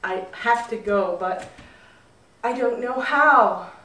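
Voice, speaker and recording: A woman's voice: fairly high pitch, slow, bright, forceful tone. Woman playing a restless young man, echoey room. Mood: despairing. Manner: earnest, emphatic, agitated. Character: restless, frustrated young man in brother's shadow. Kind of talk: live storytelling. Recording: roomy echo, distant mic.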